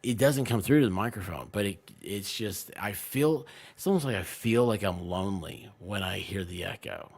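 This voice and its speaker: A man's voice: on the low side, expressive, moderate pace, few pauses. A man chatting casually on a livestream, rambling along and often trailing off mid-sentence. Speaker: online content creator and streamer.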